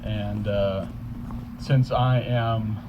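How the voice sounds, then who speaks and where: A man's voice: on the low side, fairly even intonation, very slow. A man giving an informal introduction at a live reading. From United States. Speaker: poetry reader. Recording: dull live recording, clear background noise.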